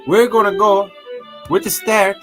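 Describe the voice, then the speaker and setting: A man's voice: high voice, little pitch movement, measured pace, over music. A man casually commenting on a livestream as he works through a language lesson, joking and throwing in mock exclamations. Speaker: streamer learning a language. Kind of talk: casual live-stream commentary.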